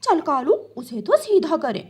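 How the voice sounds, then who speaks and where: A woman's voice: high voice, sing-song, measured pace, a little mellow, pitched above the performer's own voice. A woman voicing a bad-tempered, haughty, scolding female crow in a cartoon. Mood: furious.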